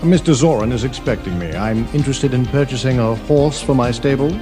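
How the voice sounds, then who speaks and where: A man's voice: mid-range voice, fairly quick, close to an everyday voice. An actor playing a suave, unflappable male British secret agent in an audio storybook. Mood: polite, posing. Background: background music.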